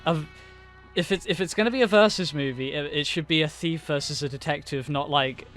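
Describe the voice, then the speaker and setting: A man's voice: a little high, fairly quick, a bit bright, faint music behind. A man co-hosting a casual film review podcast, talking eagerly and rambling with fillers. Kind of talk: casual chat.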